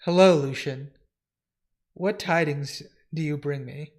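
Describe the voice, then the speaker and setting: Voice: medium pitch, slow, darkish tone. Reader doing a gloomy dream king guy from a comic, solemn, lordly, old-fashioned formal talk. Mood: commanding. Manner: stately, formal, archaic phrasing. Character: solemn, brooding, lordly male ruler of dreams.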